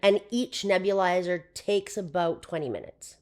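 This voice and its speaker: Guest: young woman, lowish hoarse voice, loose chatty delivery. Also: moderate pace. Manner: chatty, with frequent fillers and laughs. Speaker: podcast guest. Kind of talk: casual interview chat.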